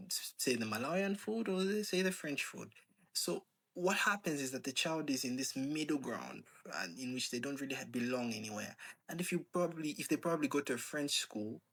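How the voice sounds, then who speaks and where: A man of ordinary, middle pitch speaks in a loose, unscripted monologue. He wanders from point to point, stacking rhetorical questions and filling gaps with "like" and "um.". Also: fairly bright tone. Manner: rambling with many rhetorical questions and filler "like". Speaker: channel host. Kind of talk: casual opinion monologue.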